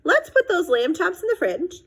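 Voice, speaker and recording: A woman's voice: very high voice, big pitch swings, normal speed, fairly bright tone, voice pushed up, heavily acted. A woman voicing an exasperated but good-humoured father in a children's storybook, wry and mock-stern. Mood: upbeat.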